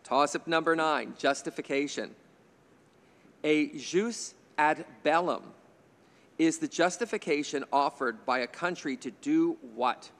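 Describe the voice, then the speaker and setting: A man's voice: fairly high pitch, unhurried, fairly bright tone, long reverb tail. A man in his fifties moderating a quiz bowl from a studio desk mic, reading questions with chatty, jokey asides. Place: indoor TV studio stage. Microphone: desk microphone on a stand.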